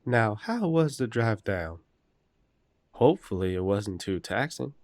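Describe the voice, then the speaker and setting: A man playing a courteous, protective eldest werewolf brother in an audio roleplay, gently authoritative and polite. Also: on the low side, lively intonation, a little slow, no room sound. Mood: gentle, reassuring. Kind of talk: roleplay audio drama acting.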